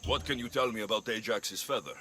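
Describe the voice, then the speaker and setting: An actor playing a wry, steady young mercenary in a video game cutscene, speaking. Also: male voice, on the low side, a little fast, a little hiss or hum. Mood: businesslike. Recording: music bed behind the voice.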